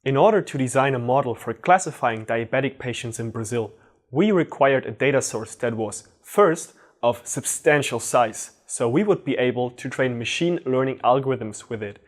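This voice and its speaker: A man in his twenties from Germany, a master's student giving a clear, methodical scripted presentation. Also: medium pitch. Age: 20s.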